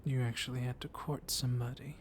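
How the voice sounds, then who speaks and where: A man voicing a civil, softly dominant, centuries-old vampire librarian in an ASMR roleplay, hushed and often slipping into whispers. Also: mid-range voice, fairly quick, close to an everyday voice. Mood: soft, flirtatious. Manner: hushed, breathy, often whispering, teasing with soft chuckles. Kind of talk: ASMR roleplay, intimate one-sided dialogue. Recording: noisy storm ambience behind voice. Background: thunder and steady ambient noise.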